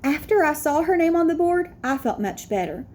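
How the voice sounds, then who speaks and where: High-voiced woman reading a picture book aloud. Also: normal speed. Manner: expressive, explains to young listeners. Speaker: read-aloud storyteller.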